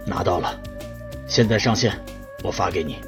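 A man's voice: low voice, normal speed, loud music behind the voice, close to an everyday voice. An actor playing an earnest, furtive middle-aged male researcher in a Chinese science-fiction radio drama. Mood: urgent.

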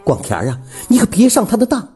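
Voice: mid-range voice, wildly swooping pitch, moderate pace, warm tone, husky, faint music behind, pitched above the performer's own voice, full character voice. A storyteller voicing a coquettish middle-aged village widow, sweetly coaxing and insistent. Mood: agitated, scheming.

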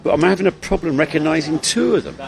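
A man's voice: a little high, fast talker, vocal fry, soft background music. A British man co-hosting a casual chat podcast, teasing and insistent, often restarting his sentences. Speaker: podcast co-host.

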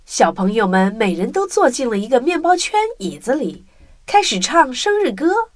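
Female storyteller for children, lively intonation, slightly high voice, music underneath. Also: moderate pace, no room sound. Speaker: narrator.

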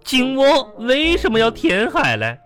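An exasperated, fussy schoolteacher in a comedy radio play. The delivery swings up and down, stretching words out while scolding and correcting. Faint music plays underneath. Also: male voice, extremely high pitch, wildly swooping pitch, fairly bright tone, heavily acted. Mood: pedantic. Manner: drawn-out words, scolding and pedantic. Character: exasperated, fussy primary-school teacher with garbled pronunciation. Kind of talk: comedy radio drama acting.

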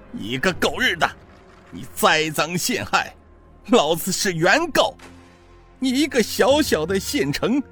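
A man's voice: mid-range voice, slightly rough, full character voice. A man voicing an arrogant, foul-mouthed male estate manager who sneers, curses and howls in pain.

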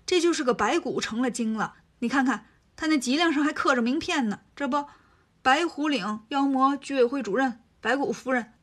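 A woman voicing a bold, impatient male monkey king who talks brisk and cocky. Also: sits high, fairly bright tone.

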